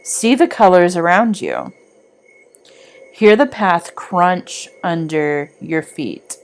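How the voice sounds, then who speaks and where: Slow, low-voiced woman guiding meditation. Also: big pitch swings. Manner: soothing, deliberate, with long pauses. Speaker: guided meditation narrator. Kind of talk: guided meditation, scripted narration.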